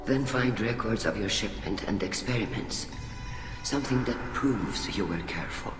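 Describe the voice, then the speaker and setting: An actor playing a weary, fatherly older alien admiral in a video game, speaking in a measured, formal way. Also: on the low side, animated. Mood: earnest. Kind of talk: video game dialogue acting. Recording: echoey hall reverb, music behind.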